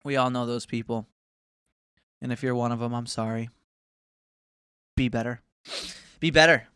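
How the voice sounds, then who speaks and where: A man's voice: mid-range voice, steady tone, normal speed, sharp tone, clear, resonant tone, no room sound, studio quality. A man in his twenties ranting casually to camera, swearing often and wandering between mocking asides. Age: 20s. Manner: profane, rambling, swears often. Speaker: vlogger.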